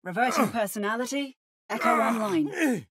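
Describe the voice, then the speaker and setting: A woman's voice: fairly high pitch, lively intonation, normal speed, fairly bright tone. A woman voicing an adaptive female robot that mimics other heroes, with precise, theatrical video game delivery. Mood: calm, synthetic.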